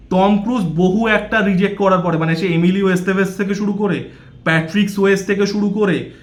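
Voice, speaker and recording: Male film commentator, Indian Bengali accent, high register, emphatic explainer with English code-switching, echoey room. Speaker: movie review YouTuber. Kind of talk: opinionated film commentary to camera.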